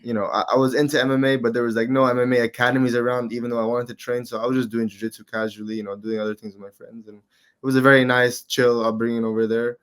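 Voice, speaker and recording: A man in his twenties from Qatar, a guest in a casual interview, talking in a relaxed, rambling way with lots of fillers. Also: mid-range voice, quick pace, smooth. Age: 20s. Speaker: guest, amateur MMA fighter.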